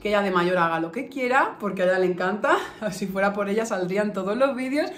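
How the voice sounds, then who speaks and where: A woman's voice: medium pitch, fast talker. A woman in her early thirties with a Castilian accent from La Mancha, chatting casually and affectionately to her viewers with emphatic repetitions. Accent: Castilian Spanish from La Mancha. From Albacete, La Mancha, Spain. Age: early 30s. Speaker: beauty vlogger. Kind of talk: casual chat to camera, answering viewer questions. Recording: echoey room with faint noise.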